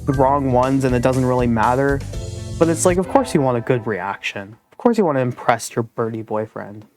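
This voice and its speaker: Chatty male streamer, soft voice. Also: mid-range voice, expressive, a little fast, soft background music. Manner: chatty, self-deprecating, rambling. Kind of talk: casual live-stream commentary.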